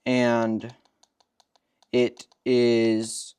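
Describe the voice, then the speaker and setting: A man's voice: mid-range voice, completely flat delivery, very slow, darkish tone, clean tone. A young man co-presenting, explaining equipment step by step in a matter-of-fact, instructional way.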